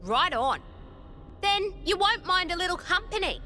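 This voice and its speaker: A cheeky fighter. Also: female voice, very high voice, big pitch swings, a little slow, pushed and bright, soft background music, heavily acted. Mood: cocky. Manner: drawling, cheeky slang. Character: cocky laid-back fighter, teasing and blunt. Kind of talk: video game voice acting.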